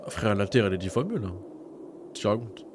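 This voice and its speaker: A young man doing excited, shouty, slangy live reaction commentary as an anime streamer. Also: fairly low pitch, a little fast, background noise. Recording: show's music and dialogue behind.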